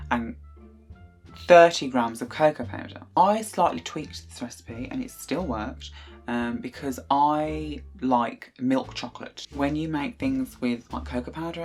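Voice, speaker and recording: A young British man talks straight into a webcam from a small bedroom, rambling through a recipe with plenty of ums and quick self-corrections. Faint music plays softly behind him. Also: mid-range voice. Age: young adult. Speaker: vlogger. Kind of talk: casual chatty cooking vlog. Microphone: desk webcam at close range.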